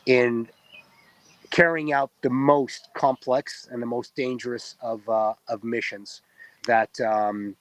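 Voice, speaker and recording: A man's voice: medium pitch, no echo, rough recording. Tired Israeli man, earnest and dry. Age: 40s. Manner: earnest, explanatory, many ums and uhs. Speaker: reserve soldier guest. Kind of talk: interview answers.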